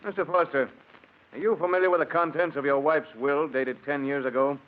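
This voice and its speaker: A man's voice: a little high, fairly even intonation, fairly quick, close to an everyday voice. Man acting stern district attorney, tinny old radio. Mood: probing, skeptical. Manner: formal, probing, authoritative. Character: stern, skeptical male district attorney questioning a suspect. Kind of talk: 1940s radio drama acting. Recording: thin, phone-like old radio recording.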